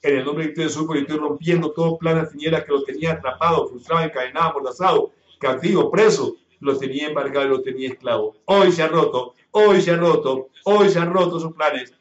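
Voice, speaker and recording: A man's voice: a little high, quick pace, few pauses. A male pastor leading a fervent healing prayer in a church service broadcast on radio, repeating phrases in a chanting cadence and breaking into tongues. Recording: echoey dull radio broadcast.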